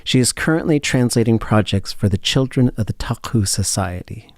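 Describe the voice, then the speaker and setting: A man narrates a radio segment from a script. His voice is fairly low, with a dry, close studio sound. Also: moderate pace, no room sound.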